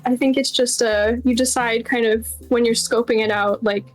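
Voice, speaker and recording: A woman's voice: a little high, fairly even intonation, moderate pace, soft background music. A woman giving casual, chatty interview answers as a game developer, with lots of informal asides. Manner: chatty, informal, many "like" and "you know" asides.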